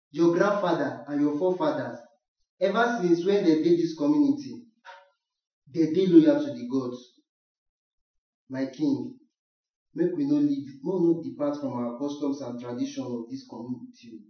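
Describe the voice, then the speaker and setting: A man's voice: on the high side, moderate pace, long pauses, close to an everyday voice. A student actor, a man with a Nigerian accent, playing an insistent traditionalist village chief who pleads in a declamatory way in a radio drama. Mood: insistent pleading. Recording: dull, echoey, distant from mic.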